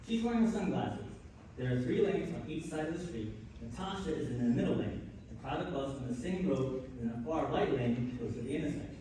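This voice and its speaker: Mellow male narrator in an echoey hall. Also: on the high side, far from the mic. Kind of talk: scripted narration of a live radio play. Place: live stage at a convention. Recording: echoey hall from afar, faint noise.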